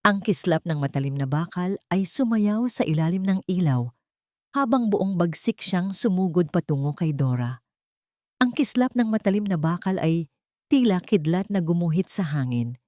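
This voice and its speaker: A woman narrating a scripted dramatic story in a measured, theatrical storytelling manner. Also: fairly low pitch, animated, a little mellow, no echo, clean studio sound.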